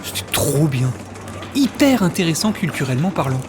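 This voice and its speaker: A man's voice: on the high side, very animated, moderate pace. A man playing a cheerfully clueless time-travelling sidekick in a comedic audio drama, casual and flippant.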